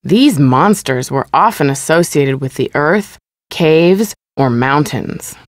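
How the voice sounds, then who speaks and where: A woman playing a calm museum audio guide, giving formal, measured educational narration. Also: low voice, dry recording, clean studio sound. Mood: calm, informative.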